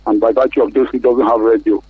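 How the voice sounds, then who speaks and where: A man's voice: mid-range voice, flat delivery, fast, husky, dry recording. A man with a Nigerian accent calling a radio show by phone, giving his opinion earnestly in slightly formal wording. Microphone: telephone. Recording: muffled, narrow tinny phone line.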